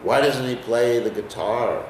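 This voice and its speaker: A man's voice: medium pitch, measured pace, reverberant, recorded from a distance, over a noisy crowd, rough recording. An American man teaching a scripture class in a conversational way, often putting questions to his listeners. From United States. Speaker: lecturer on scripture.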